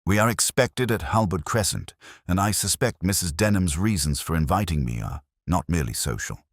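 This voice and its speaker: A man voicing a brisk, coolly analytical Victorian detective in an audiobook, precise and dry in manner. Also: low-pitched, expressive, no room sound. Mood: brisk, keen.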